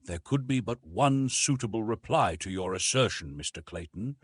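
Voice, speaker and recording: A man's voice: lowish voice, animated, normal speed, breathy edge, no echo. A man voicing an emotional, proud and easily wounded young woman in an audiobook, with an impassioned, haughty manner. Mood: icy.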